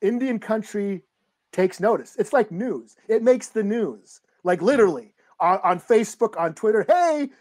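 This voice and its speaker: A man co-hosts a podcast in a relaxed, halting way. He leans on fillers and restarts as he talks through an episode in easy conversation. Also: high voice. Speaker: podcast co-host. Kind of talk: casual chat, recapping a show.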